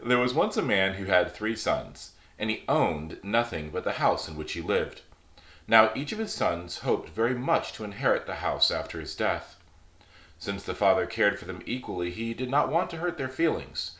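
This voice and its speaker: A man with a lowish voice reads a fairy tale aloud, relaxed and chatty, letting his pitch rise and fall with the story. The room around him rings with noticeable echo. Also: normal speed. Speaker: storyteller host. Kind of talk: casual storytelling reading.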